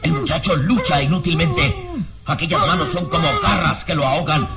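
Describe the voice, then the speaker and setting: Suspenseful male radio serial narrator, loud music. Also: fairly high pitch, gravelly. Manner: suspenseful, theatrical, measured.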